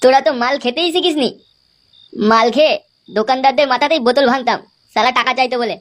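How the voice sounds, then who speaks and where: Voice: high voice, fast, close to an everyday voice. A performer voicing a cocky, foul-mouthed young village lad in a comic cartoon, brash and boastful.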